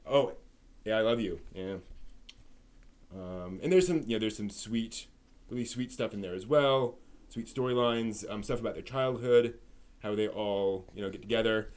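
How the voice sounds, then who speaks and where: A man hosting a live stream, giving a manga review in an articulate, expressive way. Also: fairly low pitch. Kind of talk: manga review on a live stream, part prepared, part casual chat.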